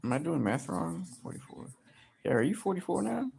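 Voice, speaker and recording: A man in his early thirties livestreaming, reading chat aloud and joking crudely, with sudden loud outbursts. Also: a little high, very animated, fairly quick, creaky, breathy edge. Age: 30s.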